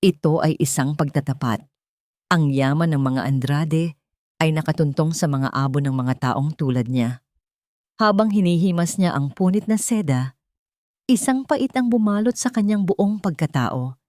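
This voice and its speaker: Lady narrating a dramatic audiobook, lowish voice, really dry close sound. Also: lively intonation, moderate pace, darkish tone, no room sound. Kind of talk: scripted dramatic story narration.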